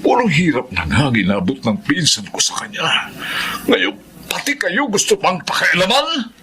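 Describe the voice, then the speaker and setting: Indignant, sympathetic uncle, animated. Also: male voice, a little high, moderate pace, a little hiss or hum. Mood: indignant, regretful. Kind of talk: Filipino radio drama acting.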